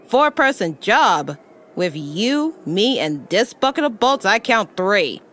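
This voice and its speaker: A woman playing a skeptical, wisecracking hard-luck hacker in an audio drama, with a sardonic, animated delivery. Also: medium pitch, slow, full character voice. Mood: skeptical, wry. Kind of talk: scripted audio drama acting.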